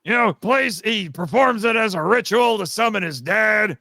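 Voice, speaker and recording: A man with a high, bright voice trades casual banter while explaining trivia. He is playfully condescending and needles his listeners. There is a creaky edge to the voice, and the sound is close and dry. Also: expressive, normal speed, dry recording. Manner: teasing, mock-condescending. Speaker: podcast guest, fan audio drama writer and voice actor.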